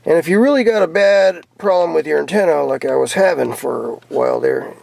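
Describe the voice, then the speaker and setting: A man's voice: a little high, sing-song, fairly quick, gravelly, darkish tone, no room sound. An American man giving a casual, rambling hobbyist show-and-tell in a plainspoken, folksy, laid-back way. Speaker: hobbyist vlogger showing off CB radio gear. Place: in or around a pickup truck. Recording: noisy handheld camera recording with clicks.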